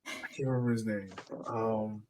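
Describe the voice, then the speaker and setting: A man's voice: lowish voice, fairly even intonation, normal speed, a little mellow. An American man co-hosting a sports podcast in casual, informal talk peppered with "like". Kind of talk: casual chat. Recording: voice distant from the microphone.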